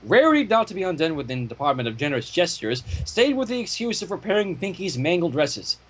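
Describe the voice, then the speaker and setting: A man reading fan fiction aloud in a theatrical storytelling style, with casual asides and a husky voice. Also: a little high, big pitch swings, fast talker.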